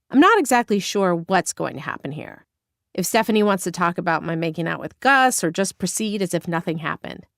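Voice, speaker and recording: A woman from Northern California narrating her own memoir as an audiobook, candid and expressive, on a close studio mic. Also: mid-range voice, no echo. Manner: expressive, candid first-person storytelling.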